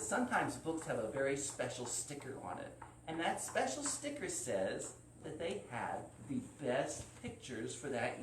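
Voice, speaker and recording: Animated man telling children's stories. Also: on the high side. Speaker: library storyteller and host. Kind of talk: live children's story time, animated storytelling. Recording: roomy, distant mic, faint noise.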